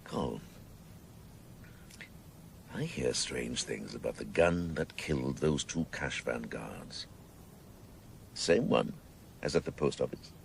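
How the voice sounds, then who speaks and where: An actor playing an obsessive, theatrical senior policeman in a radio drama, gravelly and sardonic, savouring his grandiose words. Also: extremely low pitch, animated, slow pace, pauses often, slight background noise, exaggerated voice. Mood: sardonic relish.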